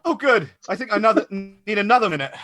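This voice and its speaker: A man's voice: sits high, lively intonation, fast talker, sharp tone, soft background music. An actor playing a flustered, self-deprecating male amateur performer in a village radio comedy, hesitant and apologetic. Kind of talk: radio comedy drama acting.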